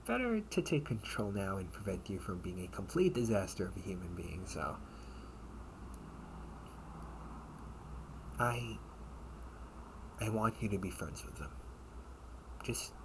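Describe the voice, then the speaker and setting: Man acting a menacing older brother, noisy recording. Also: fairly low pitch. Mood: earnest, coaxing. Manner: slangy, halting, drawn-out casual menace. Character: protective older brother, casually menacing, sardonic captor. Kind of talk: amateur audio roleplay acting. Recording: noisy amateur home recording.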